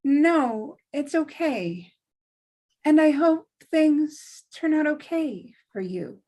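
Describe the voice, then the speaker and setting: A woman's voice: sits high, animated, slow, mellow. A woman playing an earnest, polite, hesitant young woman in a script table read. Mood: kind. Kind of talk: acted script reading.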